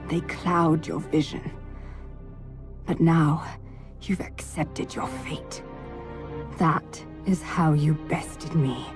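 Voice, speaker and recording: A woman playing a stern, solemn witch in a video game, speaking in a grave, formal, declamatory way. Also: lowish voice. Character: stern, solemn witch rival guarding her clan's treasures. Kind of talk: video game cutscene acting. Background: loud music.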